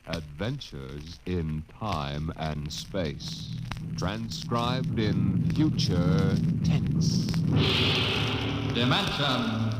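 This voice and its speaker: A man's voice: low-pitched, extremely slow, very noisy. An American man narrating dramatically as a 1950s radio announcer, with a portentous manner. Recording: old radio recording under loud music.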